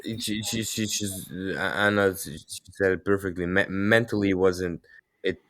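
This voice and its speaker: A man from Israel whose first language is Russian, answering interview questions in a halting way, with many restarts. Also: low voice, a bit bright. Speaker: guest, hockey player.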